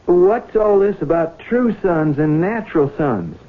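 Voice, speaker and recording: A man's voice: on the high side, lively intonation, unhurried. A man acting an earnest, haunted young farm heir in a 1970s radio drama. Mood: indignant. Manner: earnest, reflective. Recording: thin phone-like old radio broadcast, music.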